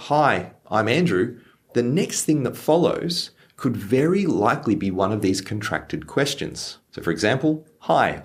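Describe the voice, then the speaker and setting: Deep-voiced man teaching English. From Australia. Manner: lively, stresses example phrases slowly. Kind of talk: clear teaching explanation for learners.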